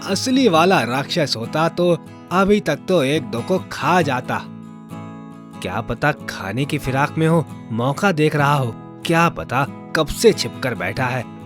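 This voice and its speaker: A man playing excitable, wary village boys in a children's folktale, exclaiming and questioning. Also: fairly high pitch, slow, over music. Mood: suspicious.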